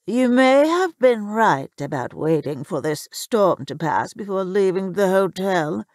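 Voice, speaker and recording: A woman voicing a haughty middle-aged socialite mother, imperious, dry-witted and deadpan, in a story. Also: mid-range voice, unhurried, no room sound. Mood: dismissive.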